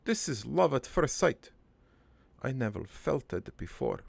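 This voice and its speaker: A man voicing a middle-aged, foreign-born cartoon father who rambles through his life story in a deadpan, halting, improvised monologue with a gravelly voice. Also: medium pitch, no echo, full character voice. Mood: fond, wistful. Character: rambling, crude, wistful foreign-born immigrant father named Joey.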